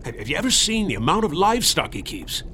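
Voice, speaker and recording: Suspicious old colonial farmer, agitated and insinuating, sharp forceful tone, widely swinging intonation. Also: male voice, a little high, sing-song, fast talker, slight background noise. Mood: ominous, suspicious. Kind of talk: radio drama acting in period speech.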